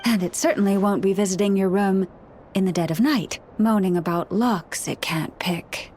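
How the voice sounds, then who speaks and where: An actress playing a gentle, scholarly young priestess in a video game, speaking in a formal, measured, courteous way. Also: female voice, medium pitch, fairly even intonation, noisy background, lightly acted. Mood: calm, reassuring. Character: gentle, scholarly young woman, a nature-loving moon priestess. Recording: music behind the voice. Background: background music.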